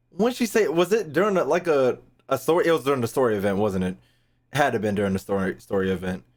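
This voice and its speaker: A woman's voice: rumbling low, darkish tone. A woman streamer giving casual reaction commentary on a video game, talking in a blunt, slangy, profane way.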